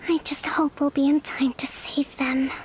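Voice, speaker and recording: A woman's voice: high-pitched, little pitch movement, moderate pace, a little hiss or hum, close to an everyday voice, rough recording. An actress playing a composed, decisive young woman who leads a resistance army in a video game, speaking formally. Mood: urgent, worried.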